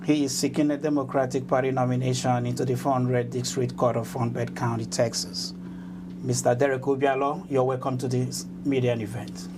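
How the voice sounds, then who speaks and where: A man's voice: medium pitch, level tone, moderate pace, background noise. A man hosting an interview show in a formal, announcer-like way. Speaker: host.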